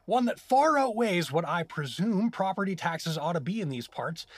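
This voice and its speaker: A man's voice: high-pitched, sing-song, moderate pace, no room sound. A man playing an exasperated, blustering everyman, a reluctant hero trapped in a comedic fantasy audio drama, indignant and incredulous. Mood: dry protest.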